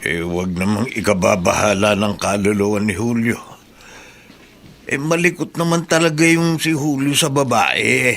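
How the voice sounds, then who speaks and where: A man's voice: fairly low pitch, normal speed, gravelly, a bit bright. A Filipino man playing a plain-spoken, nosy older uncle in a radio drama, folksy and inquisitive. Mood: gossipy.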